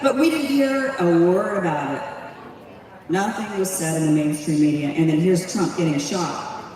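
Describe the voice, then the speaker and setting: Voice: medium pitch, moderate pace, darkish tone. A speaker giving a rousing public speech at a community event, asking many rhetorical questions. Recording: echoey hall, voice from far off.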